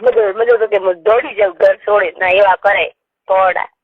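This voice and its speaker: A woman's voice: mid-range voice, steady tone, moderate pace, slightly rough, no room sound. A woman with a Marwari accent talking casually on a private phone call, airing complaints as she recounts things. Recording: tinny, narrow phone-call recording.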